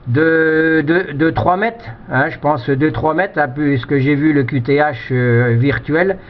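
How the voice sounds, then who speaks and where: A man's voice: a little high, takes it slowly, slight background noise. A man chatting casually as an amateur radio operator, giving technical advice and often repeating words as he hesitates. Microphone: two-way radio microphone. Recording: dull, lo-fi radio transmission.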